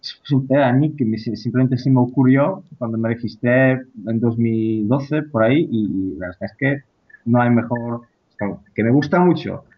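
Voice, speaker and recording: A man's voice: mid-range voice, normal speed, breathy edge. A man taking part as a guest in a casual discussion, hesitant, with many fillers and restarts. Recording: muffled lo-fi audio.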